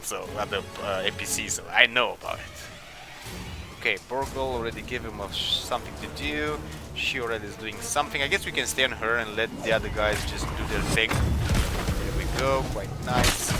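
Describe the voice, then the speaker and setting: Low male voice, casual gaming commentary, hesitant, thinking aloud, clear music in the background. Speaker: gaming video host. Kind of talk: casual let's play commentary. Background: game music.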